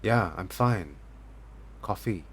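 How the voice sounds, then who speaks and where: An actor playing a withdrawn, brooding pianist in an audio drama, with a husky, creaky voice and a terse, guarded manner. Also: male voice, low voice, lively intonation, slow, a bit bright, a little hiss or hum, full character voice. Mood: guarded, distracted. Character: withdrawn, brooding male pianist in a strained romance. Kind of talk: naturalistic audio drama acting with inner monologue.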